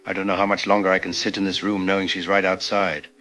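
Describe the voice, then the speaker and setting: A man playing a grieving scientist recording a desperate, halting confessional log in an audio drama. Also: low voice, level tone, pushed and bright. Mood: resigned, despairing. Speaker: doctor and researcher.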